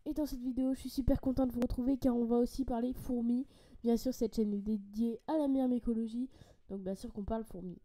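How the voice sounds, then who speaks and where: Young French female host, slightly congested, chatty hobby vlogger, warm dark voice. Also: fairly high pitch, steady tone, a little fast. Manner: chatty and enthusiastic, many fillers and restarts. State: has a cold, a bit congested. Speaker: ant-keeping channel host. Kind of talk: casual screen-share product walkthrough.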